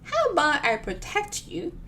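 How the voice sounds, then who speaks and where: A woman's voice: very high-pitched, wildly swooping pitch, measured pace, fairly bright tone, voice pushed up, exaggerated voice. A woman voicing an exasperated, dry-humored woman from a comic novel, incredulous and emphatic.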